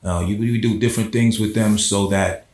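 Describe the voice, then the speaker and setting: A man's voice: fairly low pitch, fairly even intonation, moderate pace, darkish tone, roomy sound. A man, a poet and spoken word artist, talking casually as an interview guest with a laid-back, rambling manner full of fillers. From South Florida.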